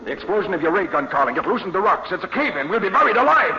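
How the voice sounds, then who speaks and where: An actor playing an urgent, frightened doctor leading an escape, with breathless exclamations, in 1950s radio drama style. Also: male voice, high-pitched, big pitch swings, racing. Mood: panicked. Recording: muffled, very noisy 1950s radio recording.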